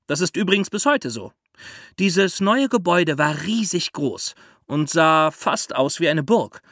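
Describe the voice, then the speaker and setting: A man's voice: on the high side, animated, measured pace, fairly bright tone, dry recording. A man hosts a podcast in a very dry studio sound. He explains in a conversational, storytelling way and talks straight to his young audience. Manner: conversational, addresses listeners directly. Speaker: podcast host.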